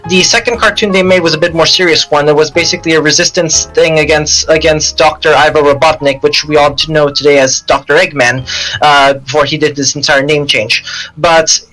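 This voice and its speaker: A man co-hosting a casual podcast, explaining lore in an earnest, halting, long-winded way. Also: on the high side, fairly even intonation, bright, forceful tone, faint music behind, poor audio. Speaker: podcast co-host.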